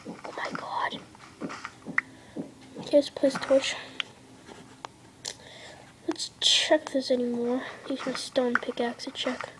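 A woman's voice: high-pitched, flat delivery, slow, pauses often, rough recording. A young girl casually narrating her mobile gameplay indoors, rambling with lots of fillers and dropping into half-whispers. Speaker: gameplay vlogger. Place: indoors at a table. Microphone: device camera filming a handheld screen.

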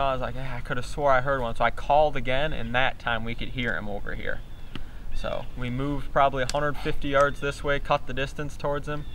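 A young man talking casually and excitedly outdoors in the woods, using lots of slang and repeating words for emphasis. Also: mid-range voice. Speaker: hunting vlogger. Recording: outdoor camera audio with background noise.

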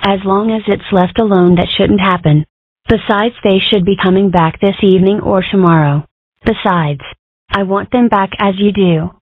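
A weary female rabbit fixer from a cartoon comic dub, acted by a woman, speaks with deadpan, sardonic wit. Her voice sits at a middling pitch. The recording is close and dry, with a dull, muffled tone. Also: normal speed, no echo, natural voice. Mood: tired. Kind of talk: comic dub character acting.

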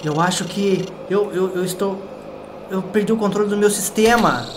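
A man with a Brazilian accent voicing a friendly, anxious game robot that speaks in a halting, pleading way. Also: high-pitched, noisy background, pitched above the performer's own voice, natural voice. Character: friendly male robot, anxious as its system fails. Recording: loud game music behind.

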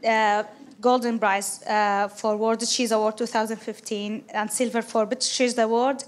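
A woman in her thirties from Syria, speaking hesitantly on a handheld mic with many pauses and fillers, standing among seated guests in a large hall. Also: on the high side, little pitch movement. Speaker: guest picked from the audience. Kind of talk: unscripted answers in front of an audience. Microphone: handheld microphone.

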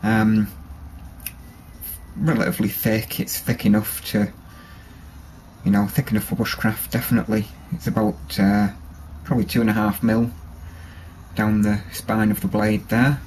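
A man casually showing a small knife in his workshop, relaxed and hesitant, with many ums and restarts, recorded on the camera's mic. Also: fairly low pitch, moderate pace, a little hiss or hum, rough recording. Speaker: bushcraft hobbyist showing a knife. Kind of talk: casual show-and-tell. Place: workshop with a grinder and workbench.

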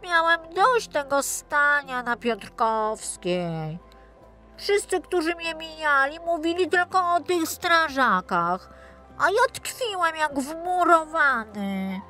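A woman's voice: sits high, big pitch swings, slow pace, pushed and bright, faint music behind, heavily acted. A woman voicing an anxious, chatty little male teddy bear with a dramatic, plaintive manner in a children's audio story. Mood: fretful, dramatic.

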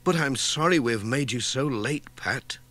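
A flustered, kindly countrywoman from a children's storybook acted out by a man. The pitch is raised and very lively, and the talk is homely and full of exclamations. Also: unhurried. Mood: apologetic. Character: flustered, kindly countrywoman, busy housewife and baker.

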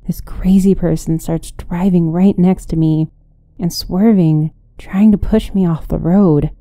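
A woman's voice: fairly low pitch, steady tone, measured pace, warm tone, no echo. A woman narrating a scripted first-person horror story.

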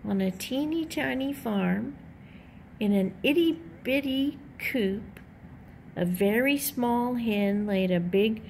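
A woman reading a children's picture book aloud in an animated, deliberate storytelling manner. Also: fairly high pitch, gravelly, slight background noise. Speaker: storybook reader.

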